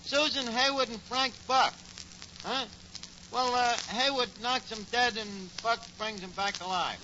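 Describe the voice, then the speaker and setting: An actor playing a wisecracking tavern manager with a New York accent and slangy, mock-grand speech in old radio comedy. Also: male voice, very high-pitched, fairly even intonation, unhurried. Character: scheming, wisecracking male tavern manager, malaprop-prone. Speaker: sitcom lead. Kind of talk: 1940s radio comedy acting. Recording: noisy, dull 1940s radio recording.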